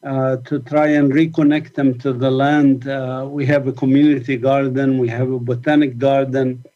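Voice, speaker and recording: A man's voice: mid-range voice, level tone, normal speed, highs cut off. A man speaking at a conference about his own activism, reflective, with many fillers and restarts. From Palestine. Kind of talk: conference talk, personal account.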